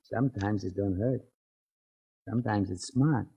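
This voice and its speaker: A man's voice: lowish voice, measured pace, mellow, husky, dull audio, heavily acted. An actor playing a menacing, cocky gambling boss in a 1940s radio crime drama, with slangy, needling tough-guy talk. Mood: quietly threatening.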